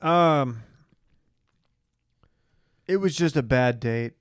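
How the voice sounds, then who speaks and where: A man's voice: medium pitch, very slow, smooth, dry recording, studio quality. An American man co-hosting a casual podcast recap, bantering in a joking, teasing way. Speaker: podcast co-host.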